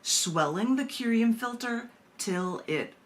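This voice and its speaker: A woman poet reading at a live open mic, animated and self-amused, with rambling, chatty asides. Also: a little high, slow.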